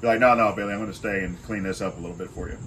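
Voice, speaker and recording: Man, middle-aged, low voice, loud-voiced, emphatic plain answers, outdoor porch at night, faint ambience. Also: steady tone, racing, slight background noise. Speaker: guest, haunt actor coordinator. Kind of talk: casual interview answers.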